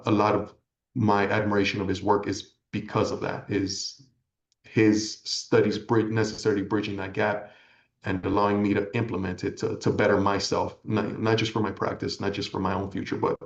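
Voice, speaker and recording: A man from California whose first language is Spanish, giving earnest, measured, reflective answers as an interview guest. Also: lowish voice, little pitch movement, creaky. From California, United States. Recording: echoey room, slightly dull audio.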